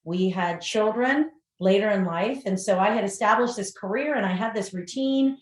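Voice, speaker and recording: A woman's voice: medium pitch, normal speed. Middle-aged woman guest in an echoey room. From United States. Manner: warm storytelling, occasional stumbles. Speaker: guest entrepreneur. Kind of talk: conversational interview.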